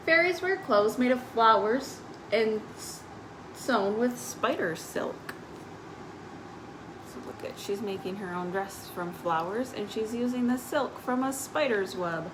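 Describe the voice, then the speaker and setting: A woman hosting a children's storytime on a live stream, reading picture books aloud with simple, explanatory asides for young listeners. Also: mid-range voice. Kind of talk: picture-book read-aloud with informal asides. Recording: faint background noise on live stream.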